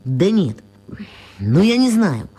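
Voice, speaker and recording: Young schoolboy, cheeky, awkward, plain-spoken, animated swooping intonation, children's radio drama. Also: female voice, fairly low pitch, takes it slowly, breathy edge, exaggerated voice. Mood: hesitant, grumbling. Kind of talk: children's radio play acting.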